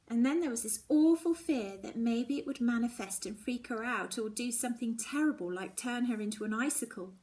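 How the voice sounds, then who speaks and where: A woman reading a children's novel aloud in the first person, with expressive, dramatic emphasis. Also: on the high side, brisk, few pauses. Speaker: storybook reader.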